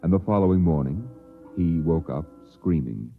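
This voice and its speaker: A male actor narrating an uncanny story in a measured, dramatic old-time radio style. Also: deep voice, expressive, creaky. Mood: grave. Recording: tinny old radio recording with music.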